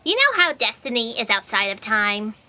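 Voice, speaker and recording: A piercingly bright, high, swooping female voice as a nerdy fact checker. She is eager and defensive, playing in a comic sci-fi audio serial. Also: big pitch swings, moderate pace, heavily acted. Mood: smug, defensive. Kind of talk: audio sci-fi comedy acting.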